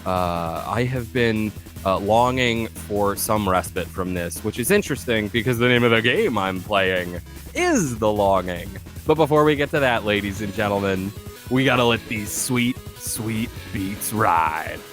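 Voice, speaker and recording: A man's voice: fairly low pitch, expressive, a little slow, faint music behind. Congested, nasal man hosting with showman flair. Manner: showy announcer patter, keeps saying "ladies and gentlemen". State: congested, scratchy, nasal from allergies. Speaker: podcast host. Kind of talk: game review podcast.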